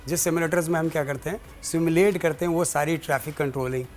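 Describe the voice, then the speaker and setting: A man with a Pakistani accent answering as an interview guest, explaining in a halting, deliberate way and switching between Urdu and English. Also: on the high side, fairly even intonation, faint music behind. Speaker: guest, president of an air traffic controllers' guild.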